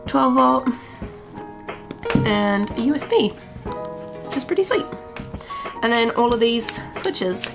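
A woman in her thirties, congested from the flu, chatting casually as she explains wiring in a van she is converting, filmed up close on a handheld camera. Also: a little high. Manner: chatty, self-taught, excitable. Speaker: van-build vlogger. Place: inside a van under construction. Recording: guitar music playing underneath.

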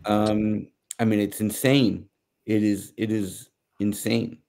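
Middle aged american guy on a video call, slow and creaky, stops and starts doing commentary. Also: on the low side, flat delivery, a little mellow. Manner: halting, searching for words. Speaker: panelist. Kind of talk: conversational news commentary. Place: small home office, indoors.

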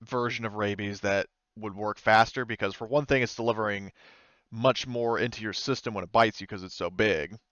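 A man improvising tabletop game narration as game master, explaining in a hesitant, halting way. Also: lowish voice, steady tone, a bit bright, no room sound, muffled recording.

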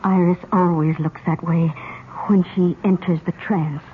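An actress playing an anxious, insistent aunt with an earnest, dramatic delivery in a 1970s radio drama. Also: female voice, on the low side, steady tone, a little slow, phone-quality sound, lightly acted. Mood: expectant. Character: anxious, insistent aunt searching for missing girl. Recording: thin, faintly noisy 1970s radio.

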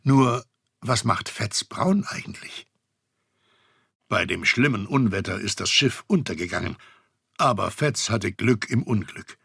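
A man's voice: fairly low pitch, expressive, a little slow, gravelly, bright, forceful tone, slightly rough, no room sound, clean studio sound. A man narrating a scripted children's story with a wry, storytelling manner.

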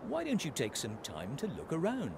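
A man's voice: a little high, huge pitch swings, normal speed, creaky, noisy background, full character voice. A man playing a friendly storyteller, narrating a children's cartoon game.

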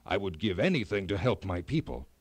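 Earnest monarch for a storybook, performed by a man, declamatory and devoted, animated intonation, dry sound. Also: low-pitched, brisk, no room sound, pitched below the performer's own voice. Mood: solemn, resolute. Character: earnest, noble king, devoted to his people. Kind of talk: children's fairy tale read aloud.

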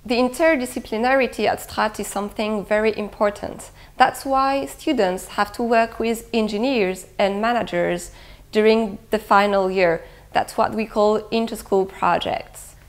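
A woman's voice: mid-range voice, moderate pace. A woman, giving a promotional talk to camera as a school's head of international affairs. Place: indoor room with bookshelves. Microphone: lapel mic.

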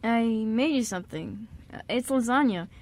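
A woman's voice: fairly high pitch, close to an everyday voice. Woman playing a shy, hesitant, caring man. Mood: shyly eager. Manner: hesitant, halting, with little laughs. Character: shy, caring young man, warm and awkward. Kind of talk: amateur radio drama acting.

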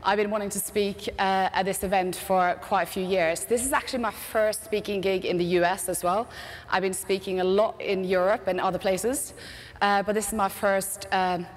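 Norwegian woman, British-tinged accent, lively keynote speaker, headset mic, big reverberant hall. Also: medium pitch, moderate pace. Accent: Norwegian with British English mix. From Norway. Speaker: conference speaker, agency CEO. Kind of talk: keynote presentation. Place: large conference stage. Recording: long reverb of a large hall.

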